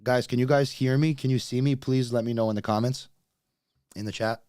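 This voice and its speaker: A man's voice: medium pitch, fairly even intonation, a little fast, darkish tone, clear, resonant tone, no echo, clean studio sound. A man in his late thirties, born in Russia with Russian as his first language, with a slight Russian accent, chatting casually with viewers on a livestream as he sorts out technical problems. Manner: chatty, talks straight to viewers while fixing tech. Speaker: YouTube livestream host.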